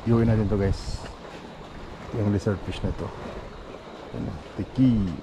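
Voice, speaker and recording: A man's voice: on the low side, fairly even intonation, unhurried. A man with a Filipino accent chatting casually as he vlogs a fishing outing outdoors by the sea, recorded on a body-worn action camera. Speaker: fishing vlogger. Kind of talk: casual vlog chat while fishing. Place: outdoors on a seaside concrete pier. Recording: loud wind and outdoor noise. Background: wind, water, outdoor noise.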